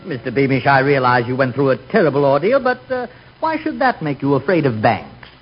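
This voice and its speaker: An actor playing a fussy, polite bank clerk in a 1940s radio comedy. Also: male voice, mid-range voice, lively intonation, a little fast, over music, close to an everyday voice. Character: fussy, polite male bank clerk, easily amused and astonished. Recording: muffled, noisy 1940s radio recording.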